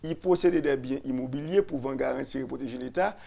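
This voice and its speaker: A man, a lawyer and radio guest, explaining constitutional articles slowly, repeating and restarting phrases. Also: mid-range voice, creaky, warm tone. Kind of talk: explaining constitutional law on a radio talk show.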